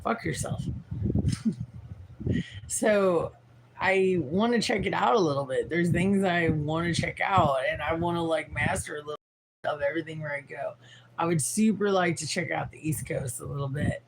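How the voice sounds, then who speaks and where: A tired woman in her forties with a raspy voice, rambling frankly and swearing as she chats on a livestream. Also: deep voice, normal speed, background noise. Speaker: livestream host. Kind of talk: casual livestream chat.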